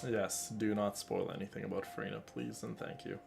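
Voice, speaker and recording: A man's voice: fairly low pitch, level tone, brisk, vocal fry, music in the background. A man doing casual, rambling and emphatic live commentary as he plays a game, easily amused. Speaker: game streamer.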